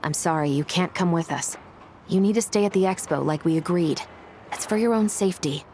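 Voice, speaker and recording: Woman as a low-voiced, stern female officer. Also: darkish tone, a little hiss or hum, lightly acted. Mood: serious. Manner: serious, measured, authoritative. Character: stern, principled female enforcement officer in command. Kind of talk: video game voice acting.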